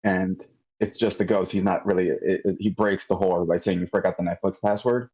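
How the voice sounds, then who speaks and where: A man giving casual spoken critique of a script in an online meeting, halting and thinking aloud. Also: deep voice, fairly even intonation, dark tone, slightly rough. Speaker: script feedback participant. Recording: slightly dull online meeting audio.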